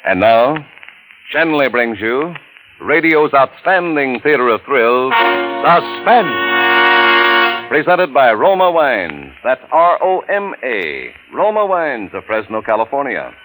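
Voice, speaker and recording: Slow, emphatic American announcer over loud music. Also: male voice, medium pitch, sing-song, low-quality recording. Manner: emphatic, deliberate. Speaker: radio show announcer. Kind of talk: 1940s dramatic radio announcing.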